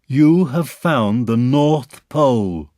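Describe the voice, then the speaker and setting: Man doing a kind little boy, gentle and solemn, a bit higher than his normal voice, lively lilt. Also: slow pace, no echo, clean studio sound. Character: kind, earnest young boy leading friends.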